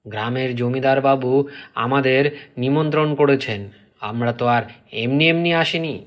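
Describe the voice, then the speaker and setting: A man voicing a proud, indignant poor villager in a cartoon folk tale, protesting forcefully. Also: medium pitch, fairly even intonation, moderate pace, close to an everyday voice.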